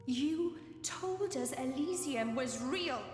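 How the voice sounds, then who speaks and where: A woman's voice: sits high, animated, slow, a little mellow. A woman voicing a fiery, jealous teenage girl in a video game, bitter, wounded and accusing. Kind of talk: video game cutscene voice acting. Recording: echoey hall reverb with music behind.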